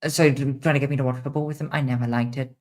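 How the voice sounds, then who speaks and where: A young woman with a mixed international accent, answering chat questions on a casual livestream, blunt and quick to swear. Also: rumbling low, steady tone, a little mellow. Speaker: streamer.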